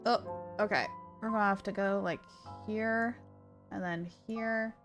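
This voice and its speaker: A woman livestreamer chatting casually over a game, with an excitable, gushing manner. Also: medium pitch, unhurried, music in the background. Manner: excitable, gushing, baby-talks to her dog. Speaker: live game streamer. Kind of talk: casual livestream chat while gaming. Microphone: streamer's mic, wearing headphones.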